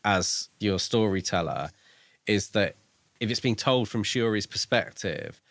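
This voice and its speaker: A man's voice: fairly low pitch, lively intonation, unhurried, gravelly, fairly bright tone, dry recording. A man co-hosting a podcast, talking through a book in a reflective, informal way. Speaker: podcast co-host. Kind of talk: casual book discussion.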